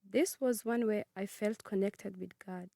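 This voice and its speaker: A nineteen-year-old woman from Congo who grew up in Kenya, reading her personal narrative in a measured, earnest way. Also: medium pitch, gravelly. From Congo, raised in Kenya. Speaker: student narrator.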